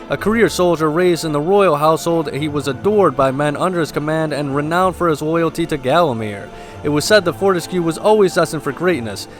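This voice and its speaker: A man hosting a gameplay video, mixing casual commentary with lore read aloud, in a somewhat halting delivery. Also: fairly high pitch. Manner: halting, stumbles while reading aloud. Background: game music.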